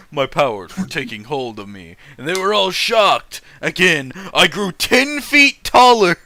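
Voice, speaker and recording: A man's voice: sits high, big pitch swings, slow pace, pushed and bright. A man casually reading fan fiction aloud and mocking it with sarcastic, sweary, amused commentary.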